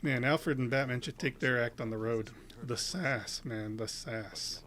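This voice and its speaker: A man's voice: medium pitch, unhurried, fairly bright tone. A man hosting a casual reaction video, rambling with lots of fillers and restarts.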